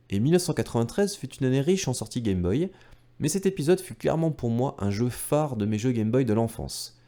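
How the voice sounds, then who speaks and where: A man's voice: mid-range voice, mellow. A man narrating a retro gaming video from a script, enthusiastic and speaking directly to viewers with casual asides. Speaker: video creator and host.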